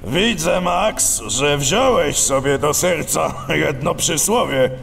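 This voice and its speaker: A bossy, sly dog police chief from a children's radio play. His voice is very creaky and fairly high for a man. He talks slowly, hemming as he goes. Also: expressive, fairly bright tone, faint music behind, exaggerated voice. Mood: mocking. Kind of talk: children's audio drama acting.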